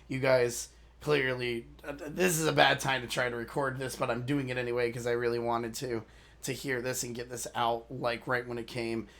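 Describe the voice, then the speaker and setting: A man with a hoarse, worn voice chats loosely to his viewers at a middle pitch. He rambles, says sorry and loses his thread now and then, in the easy tone of a reaction channel host. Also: level tone, moderate pace, fairly bright tone. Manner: rambling, apologetic, loses his train of thought. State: hoarse from a lost voice. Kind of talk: casual chat to viewers.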